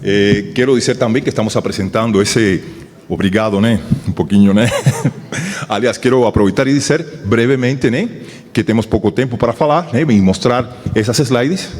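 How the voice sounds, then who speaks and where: A man's voice: mid-range voice, normal speed, a little mellow. Cuban-accented man in an echoing hall. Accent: Cuban Spanish. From Cuba. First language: Spanish. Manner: hesitant, many fillers and tag questions. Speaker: research director giving a presentation. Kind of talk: formal academic talk at a ceremony. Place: large auditorium. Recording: long reverb of a large hall.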